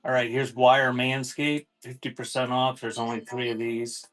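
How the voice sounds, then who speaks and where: A man's voice: medium pitch, level tone, moderate pace, a bit bright, soft background music. A man hosting a live online auction, announcing items in an informal, off-the-cuff sales patter. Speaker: host of a live online auction selling cassette tapes. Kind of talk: casual livestream sales patter.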